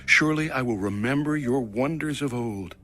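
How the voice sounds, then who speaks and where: A man's voice: mid-range voice, normal speed, a bit bright. A man giving a solemn, reverent, declamatory reading of scripture as an audio Bible narrator.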